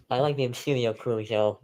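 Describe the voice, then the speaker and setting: A man's voice: medium pitch, a little mellow. Man calling football play-by-play, informally. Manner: conversational, informal. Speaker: play-by-play host of an online sports stream. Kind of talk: amateur live football commentary.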